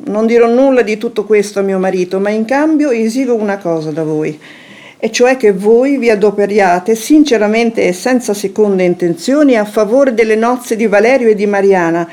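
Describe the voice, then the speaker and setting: A woman's voice: mid-range voice, warm tone, natural voice. Composed, tactful noblewoman, acted by a woman. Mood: firm, discreet. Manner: measured, formal, composed. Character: poised, discreet noble wife, coolly tactful. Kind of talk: theatrical radio drama acting.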